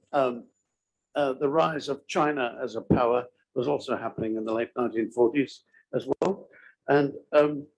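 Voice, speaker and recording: Halting, gravelly man lecturing. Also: mid-range voice, darkish tone, poor audio. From Australia. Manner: hesitant, many ums and uhs. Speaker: conference speaker. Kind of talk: academic conference talk.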